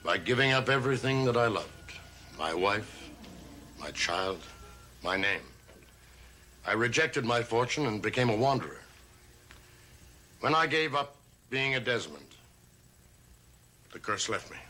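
An actor playing a grave, mysterious older gentleman with measured speech in a gothic television soap. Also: medium pitch, lively intonation, slight background noise. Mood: sorrowful. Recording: old TV soundtrack, dramatic music underneath.

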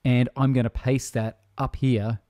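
A man giving a software tutorial voice-over in a casual, conversational way. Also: mid-range voice, flat delivery, unhurried, no echo, studio quality. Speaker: tutorial presenter.

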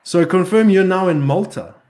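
A man hosting a casual chat show, friendly and asking questions in quick runs. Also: high voice, darkish tone. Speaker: host.

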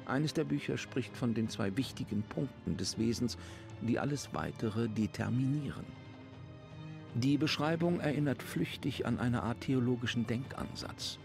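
A man's voice: medium pitch, moderate pace, vocal fry, music in the background. A man doing scripted narration of book text in a game.

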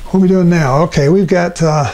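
An older man demonstrating a watercolor painting as an instructor, talking himself through each step with frequent ums and pauses. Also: on the high side, slight background noise. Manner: relaxed, thinking aloud with many ums. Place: art studio at a painting table.

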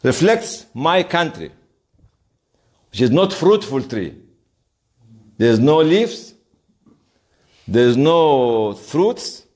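Animated man lecturing a class. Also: fairly high pitch, very slow, long pauses. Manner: emphatic storytelling with rhetorical questions. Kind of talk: informal interactive classroom lecture.

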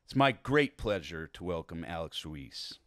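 Brief: male podcast host, lowish voice, eager and a little stumbling, dry studio. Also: very animated, unhurried, fairly bright tone, no echo. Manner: enthusiastic, stumbling over words when unscripted. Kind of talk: scripted intro and casual interview. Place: recording studio.

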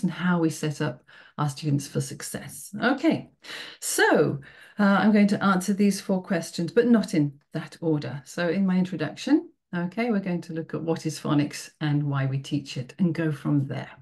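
A woman's voice: sits low, expressive, moderate pace, a little mellow. British lady teacher on a video call, upbeat and encouraging, keeps saying okay. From United Kingdom. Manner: friendly, encouraging, checks in with "okay?" often. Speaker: teacher trainer giving a workshop. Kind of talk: online presentation to teachers.

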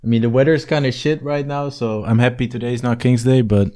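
A man's voice: mid-range voice, steady tone, fairly quick, warm tone. A young man from the Netherlands, a podcast guest, talking in an easygoing, slangy storytelling way. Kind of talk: casual chat.

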